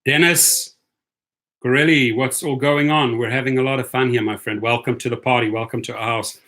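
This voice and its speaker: Chatty guy doing shout-outs on a livestream, bright forceful voice, keeps repeating himself. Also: medium pitch, fairly even intonation, a little fast. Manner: upbeat, repeats phrases, gives shout-outs. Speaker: livestream host. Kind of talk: casual livestream chat, reading out viewers.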